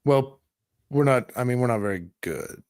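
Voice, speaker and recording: An easygoing young amateur musician, played by a man at a medium pitch with lively swings. He is modest and agreeable, talking casually as a tabletop roleplay character in a close, dry recording. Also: normal speed, smooth, no room sound, natural voice. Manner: casual, self-effacing.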